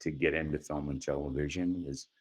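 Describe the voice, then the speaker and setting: A middle-aged man with a deep voice, a guest actor telling stories in a relaxed interview, often laughing and restarting sentences. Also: a little fast. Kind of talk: conversational storytelling.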